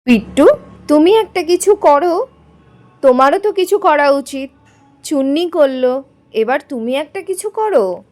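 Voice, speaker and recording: A woman's voice: high-pitched, expressive, a little slow, pauses often, darkish tone, soft background music, pitched above the performer's own voice. A woman voicing an encouraging schoolteacher who coaxes and instructs, in a doll story. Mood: coaxing. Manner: coaxing, instructing. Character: encouraging female schoolteacher hosting a school flag ceremony.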